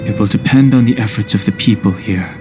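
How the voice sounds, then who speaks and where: An actor playing a calm, logical, reserved young man in a video game cutscene, measured and matter-of-fact. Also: on the low side, close to an everyday voice. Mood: calm, pensive. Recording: orchestral music behind the voice.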